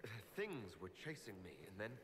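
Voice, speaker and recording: A man's voice: on the low side, sing-song, a little fast. A man playing a bewildered but earnest captive hero in a fantasy video game. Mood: confused, frightened. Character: bewildered but earnest young male hero held prisoner. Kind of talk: dramatic video game acting. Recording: clearly noisy game audio capture.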